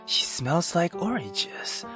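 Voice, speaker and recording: A woman voicing an innocent young child's diary from a visual novel in simple, quiet, halting sentences. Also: on the low side, sing-song, loud music behind the voice. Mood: innocent, subdued.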